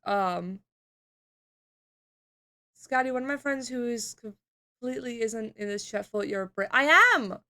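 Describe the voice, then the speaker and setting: A woman's voice: on the high side, unhurried, long pauses, a bit bright. A young woman from the UK chatting casually on a stream, reading chat aloud, laughing often and swearing. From United Kingdom.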